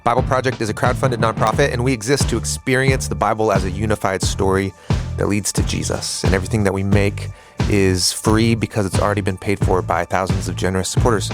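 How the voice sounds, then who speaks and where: A man's voice: lowish voice, flat delivery, over music. A man co-hosting a podcast, speaking casually and searching for his words, and also reading the show's closing credits. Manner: halting, searching for words with fillers. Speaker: podcast co-host. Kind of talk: casual conversation and show outro.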